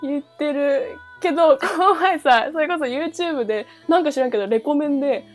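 Higher-pitched woman chatting casually. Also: moderate pace. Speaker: podcast co-host. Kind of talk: casual chat between friends. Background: background music.

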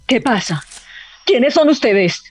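Una actriz de radionovela con acento colombiano interpretando a una madre de mediana edad, protectora y desafiante. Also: female voice, fairly high pitch, expressive, takes it slowly, background noise. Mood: alarmed, defiant. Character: protective, defiant middle-aged mother facing danger. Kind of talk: radio drama acting.

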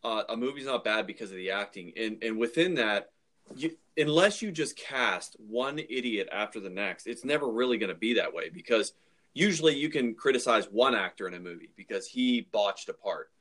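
A man's voice: fairly low pitch, lively intonation, normal speed, a bit bright. Casual, opinionated male podcast co-host.

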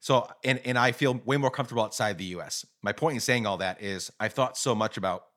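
A man's voice: fairly low pitch, fast talker. A man in his thirties hosting a podcast, talking casually and with feeling, full of "like" fillers. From grew up in Guatemala. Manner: casual, many fillers like "like", animated. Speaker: podcast host. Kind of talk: podcast interview.

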